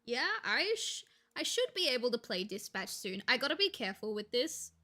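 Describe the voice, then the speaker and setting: A young woman, chatting casually and rambling to viewers on a live stream, with a slight gravel in her voice when she talks quietly. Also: a little high, very animated, moderate pace. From Australia. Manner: chatty, rambling, laughs easily, thinks aloud.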